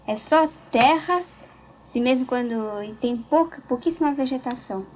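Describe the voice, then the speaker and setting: A woman's voice: high-pitched, normal speed, darkish tone. Woman recounting a trip, casual and chatty, lo-fi tape hiss. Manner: chatty storyteller, frequent restarts. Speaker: interviewee. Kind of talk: casual group conversation. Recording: dull lo-fi tape recording.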